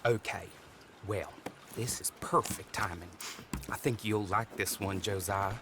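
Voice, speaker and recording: A man's voice: fairly low pitch, measured pace, noisy background. A man playing a chatty, obliging tipster of the old West in a game, with a folksy, friendly way of talking. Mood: chummy. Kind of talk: video game cutscene dialogue.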